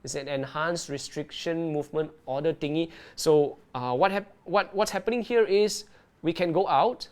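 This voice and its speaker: Lively man presenting on a livestream. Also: on the high side, normal speed, smooth. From Malaysia. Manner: lively, keeps checking in with "right?". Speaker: underwater video presenter. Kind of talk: live-streamed tutorial and Q&A.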